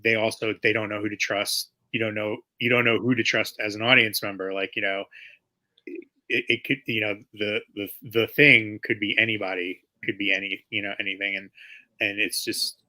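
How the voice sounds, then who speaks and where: A man in casual conversation as an interviewed writer, thinking aloud haltingly and circling back over his phrases. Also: lowish voice, flat delivery, pushed and bright, low-quality recording. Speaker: comic book writer being interviewed. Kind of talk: casual chat.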